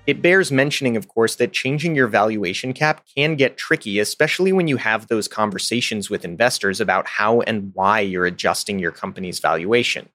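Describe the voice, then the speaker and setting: A man reading scripted narration for a business podcast in a polished, even delivery. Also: fairly low pitch, fairly quick, hardly any pauses, dry recording, studio quality, soft background music.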